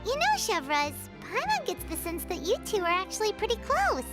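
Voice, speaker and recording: A woman voicing a tiny, chatty fairy-like companion in a game, bouncy and squeaky, talking about herself by name. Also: wildly swooping pitch, moderate pace, exaggerated voice. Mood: curious. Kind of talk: game cutscene voice acting. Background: game music.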